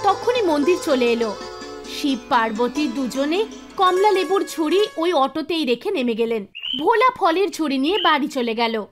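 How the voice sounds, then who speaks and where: A woman reading scripted narration as the storyteller of an animated moral tale. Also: high voice, normal speed. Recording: music under the voice.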